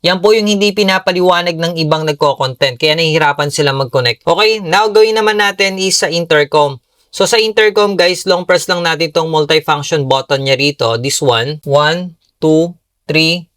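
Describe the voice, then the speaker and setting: A man's voice: fairly high pitch, fairly bright tone, dry recording, clean studio sound. A Filipino man giving a casual, upbeat product tutorial in a conversational way, often addressing his viewers directly. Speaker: product reviewer and tutorial presenter.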